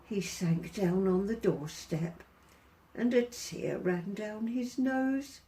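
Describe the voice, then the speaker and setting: A woman reading a picture book aloud to children as a storyteller, in a theatrical, deliberate, sing-song way. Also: medium pitch, lively intonation, warm tone. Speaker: volunteer storyteller.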